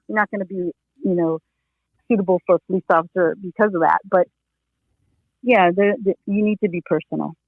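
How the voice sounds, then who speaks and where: A woman's voice: on the low side, steady tone, brisk, long pauses, no room sound. A middle-aged woman, a retired police officer, giving casual interview advice in a rambling way, full of "you know" fillers and restarts. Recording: tinny phone line.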